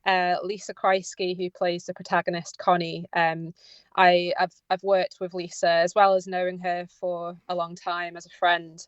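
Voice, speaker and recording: Female interview guest, conversational and halting, fairly flat intonation, slightly dull recording. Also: on the low side, clear, resonant tone, no echo. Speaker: interviewed writer and director. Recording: slightly dull, dry sound.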